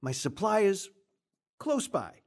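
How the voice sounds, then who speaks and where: A brash young husband who deals drugs, in a radio drama. His pitch swings widely as he brushes things off with sarcastic, dismissive remarks. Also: big pitch swings, slow, a little mellow, exaggerated voice.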